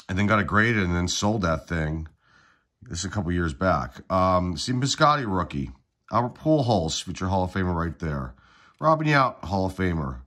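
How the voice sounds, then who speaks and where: A congested middle-aged man getting over a cold, casually running through trading card packs on his channel at a table indoors. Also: deep voice. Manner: relaxed, rattles off player names. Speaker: card-collecting channel host. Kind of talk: casual pack-opening commentary.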